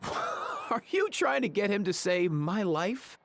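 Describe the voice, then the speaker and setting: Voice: medium pitch, wildly swooping pitch, slow, gravelly, a bit bright, slight background noise, heavily acted. An actor playing a sassy, teasing companion in a video game, blunt and drawling. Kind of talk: voice-acted game dialogue.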